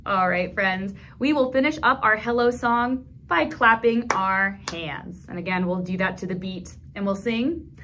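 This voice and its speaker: A woman in her thirties leading a toddler storytime, speaking gently in simple phrases for small children as she reads aloud and comments. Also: mid-range voice, a little slow, background noise. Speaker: children's librarian, storytime host. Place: small indoor room at a library.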